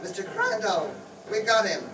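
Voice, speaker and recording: A tough, proud little undercover cop, acted by a man in a radio comedy, with a fairly high voice. The recording is echoey and distant, with music behind. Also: huge pitch swings, normal speed. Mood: triumphant. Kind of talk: comic radio skit acting.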